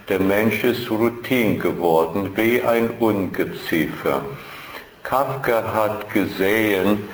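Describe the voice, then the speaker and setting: A man's voice: lowish voice, a bit bright. Man lecturing passionately in an echoey hall. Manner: dramatic, emphatic, repeats key phrases, voice rising sharply at climaxes; non-native German grammar. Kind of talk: impassioned lecture.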